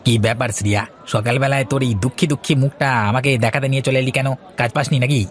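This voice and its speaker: A man playing a stingy, spiteful old village uncle in an animated moral story, with a scolding, dismissive manner. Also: mid-range voice, racing, some chatter behind, lightly acted. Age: older.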